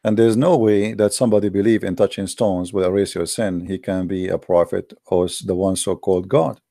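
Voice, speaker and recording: A man's voice: low voice, steady tone, a little fast, warm tone. A man giving an argumentative, sarcastic monologue, full of rhetorical questions, speaking English with non-native grammar. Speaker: religious debater. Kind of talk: polemical monologue.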